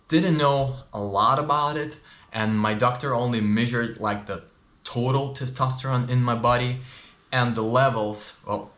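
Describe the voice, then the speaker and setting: A man's voice: medium pitch, a bit bright. A man in his thirties with a Russian and Ukrainian accent, a non-native English speaker telling his personal story to camera in a candid, chatty way with frequent fillers. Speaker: vlogger. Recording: roomy echo.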